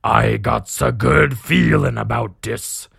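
A man voicing a brutish, excitable orc warband captain in an acted fantasy story, bellowing in crude broken grammar. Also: mid-range voice, takes it slowly, gravelly, slightly rough, no echo, studio quality, exaggerated voice. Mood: confident, gloating.

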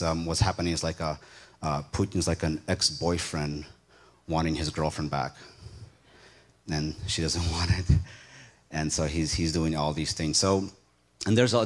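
Deep-voiced man giving an earnest church talk. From United States, born in Ukraine. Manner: conversational, earnest, often pausing to rephrase. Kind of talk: informal talk to a congregation.

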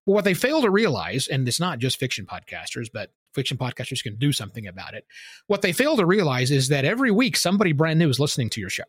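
A man's voice: on the high side, sing-song, quick pace, a bit bright, breathy edge, no echo, studio quality. A man answering interview questions in an opinionated, emphatic way, explaining his points with examples. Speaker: podcasting guest expert.

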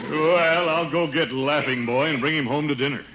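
An actor playing a blustering, self-satisfied middle-aged uncle in a 1950s radio sitcom, hemming and easily exasperated. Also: male voice, a little high, normal speed, loud music behind the voice, over a noisy crowd, close to an everyday voice. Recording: dull, noisy old radio recording.

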